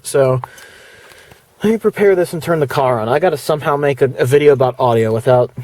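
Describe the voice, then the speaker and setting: A man's voice: mid-range voice, fast, darkish tone. Casual man showing off his car stereo. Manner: rambling, with fillers and restarts. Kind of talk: casual product walkthrough vlog. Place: inside a parked car; indoors before going to the car.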